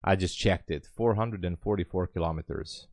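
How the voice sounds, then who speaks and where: A man's voice: deep voice, normal speed, darkish tone, no room sound. A man with a Hungarian accent chats casually on a livestream, rambling and thinking aloud. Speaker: live trading streamer.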